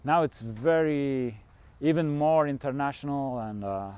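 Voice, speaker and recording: A man's voice: medium pitch, a little slow, a little mellow, smooth. A middle-aged man from Switzerland, rambling candidly through a casual vlog monologue with the odd chuckle. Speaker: fasting coach and vlogger.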